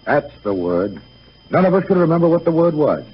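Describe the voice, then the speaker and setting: An actor playing a young dead soldier son in a 1930s radio drama, breezy and gentle, speaking in a boyish, coaxing, informal way. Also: male voice, a little high, sing-song, fairly quick. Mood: calm, reflective. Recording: muffled, noisy 1938 radio broadcast.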